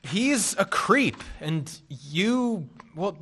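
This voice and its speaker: A man playing a dry-witted drifter in a radio play, with deadpan delivery. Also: high-pitched, very slow, slightly rough, heavily acted.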